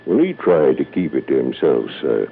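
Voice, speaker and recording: A kindly old family doctor on an old radio drama. The voice sits very low, with a folksy, courteous, unhurried manner. The sound is narrow and muffled like a vintage broadcast. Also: male voice, huge pitch swings, vocal fry, a little hiss or hum, full character voice. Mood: sombre, kindly. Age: older.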